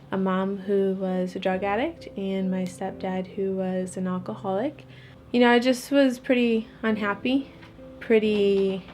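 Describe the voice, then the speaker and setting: A woman in her thirties with a flat, even delivery at medium pitch. She talks about her life in an interview over soft music. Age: 30s. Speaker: missionary. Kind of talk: documentary interview. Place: indoor room at home. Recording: faint music behind the voice.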